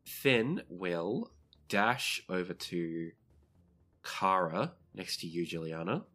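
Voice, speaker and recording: Low-voiced game master narrating the action. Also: expressive, slow pace, a bit bright. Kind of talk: narrating a tabletop role-playing game.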